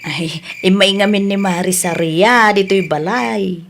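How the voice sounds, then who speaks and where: A woman's voice: mid-range voice, unhurried, creaky, a bit bright, slight background noise. A woman with an Ilocano accent playing a chatty, easygoing village wife and mother in a radio comedy drama. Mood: placating.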